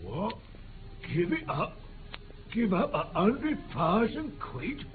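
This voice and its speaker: An actor playing a rough, dim, jumpy criminal henchman with a Cockney accent, slangy and blunt, in a 1950s radio drama. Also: high voice, very animated, takes it slowly, exaggerated voice. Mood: greedy, scheming. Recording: narrow 1950s radio recording, music behind.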